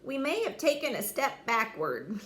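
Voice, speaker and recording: Woman voicing an eager, high-pitched boy knight. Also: normal speed, slight background noise. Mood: puzzled. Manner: animated, encouraging. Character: earnest, friendly young boy knight, eager helper.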